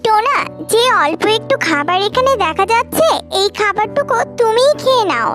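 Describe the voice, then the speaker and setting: A woman voicing a small, gentle female bird in a children's cartoon, plaintive and earnest. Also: extremely high pitch, big pitch swings, normal speed. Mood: tired, caring. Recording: music behind the voice.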